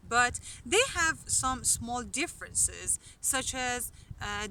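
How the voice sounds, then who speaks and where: A woman in her thirties with a Persian accent, talking to camera outdoors as a language teacher, unhurried and with hesitant fillers. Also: medium pitch, sharp tone, a little hiss or hum. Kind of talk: casual spoken lesson to camera. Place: outdoors on a mountain hillside. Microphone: camera from a short distance. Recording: outdoor camera audio, a bit distant.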